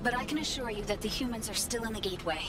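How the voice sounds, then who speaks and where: A woman's voice: a little high, racing, close to an everyday voice. An actress voicing a polite, fussy ancient female AI guide in a video game, speaking formally and encouragingly. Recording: game audio with loud music, distant.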